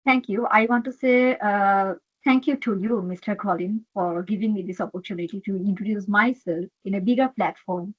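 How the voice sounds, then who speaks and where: Halting Bangladeshi woman, dull line. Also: medium pitch, slightly rough. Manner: earnest, halting, frequent fillers and "okay". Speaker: HR executive, guest. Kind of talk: interview answers.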